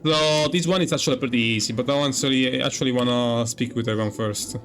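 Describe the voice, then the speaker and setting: A man giving casual playthrough commentary as a gaming streamer, musing in a halting, repetitive way. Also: medium pitch, a bit bright. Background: game music.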